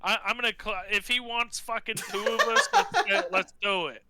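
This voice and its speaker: A man playing a tabletop role-playing game, chatting casually in an excitable, sweary way and thinking aloud. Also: high voice, normal speed, loud music behind the voice.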